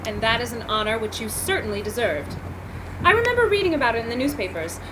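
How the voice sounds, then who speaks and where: A woman's voice: a little high, animated, fast, close to an everyday voice. A woman playing a gracious 1940s radio interviewer in a formal announcer manner. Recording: noisy live event recording.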